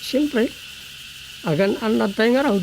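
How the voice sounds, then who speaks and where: A man's voice: high-pitched, unhurried, gravelly, background noise. A man with a Filipino accent acting an easygoing husband in a radio drama. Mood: casual.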